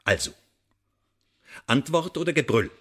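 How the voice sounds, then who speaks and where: An actor playing a sardonic spy interrogator in a German radio drama, mock-polite and smugly threatening in elaborate official phrases. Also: male voice, medium pitch, expressive, a little slow. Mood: menacing, commanding. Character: menacing, sardonic male interrogator for a spy service.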